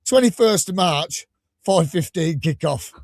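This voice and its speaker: A man hosting a live fan podcast, enthusiastic and rambling, a little croaky from a cold. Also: fairly high pitch, animated, unhurried, dry recording, studio quality. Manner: enthusiastic, rambling, laughs often. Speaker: podcast host.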